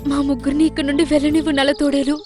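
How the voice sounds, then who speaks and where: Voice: sits high, level tone, racing, music in the background, lightly acted. A woman voicing an anxious, protective mother deer in a cartoon, speaking urgently. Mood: pleading demand. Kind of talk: cartoon character voice acting.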